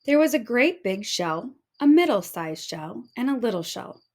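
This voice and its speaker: A woman narrating a children's storybook aloud in an expressive storytelling manner. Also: fairly high pitch, moderate pace. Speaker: storybook reader.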